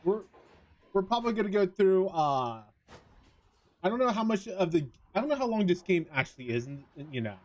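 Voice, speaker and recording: Man, fairly high voice, casual streamer chat, halting delivery, trailing thoughts. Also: very animated. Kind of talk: casual chat while streaming a visual novel.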